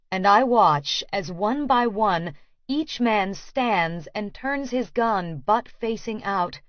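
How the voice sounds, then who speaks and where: A woman's voice: medium pitch, dry recording. Woman narrating fiction, dry and slightly dull. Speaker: audiobook narrator. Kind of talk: scripted first-person fiction narration.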